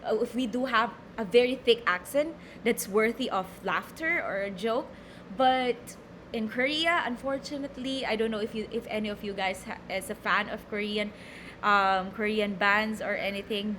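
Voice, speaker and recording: A woman's voice: medium pitch, normal speed, a bit bright, a little hiss or hum. A young Filipino woman with a Filipino accent, teaching a recorded lecture, reading from slides and explaining with many fillers and repeated words. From Philippines.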